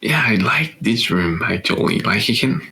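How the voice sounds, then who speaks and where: A man's voice: on the low side, expressive, moderate pace, vocal fry, pushed and bright. A young French man in his twenties, whose first language is French, co-hosting a podcast in English with a French accent, hesitant and searching for words. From France. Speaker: podcast co-host. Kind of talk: casual chat.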